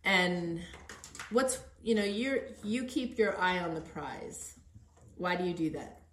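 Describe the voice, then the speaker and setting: A woman's voice: fairly low pitch, expressive, takes it slowly, fairly bright tone, slight background noise. A middle-aged woman hosting a conversational podcast interview in an earnest, encouraging manner. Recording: roomy, echoey sound.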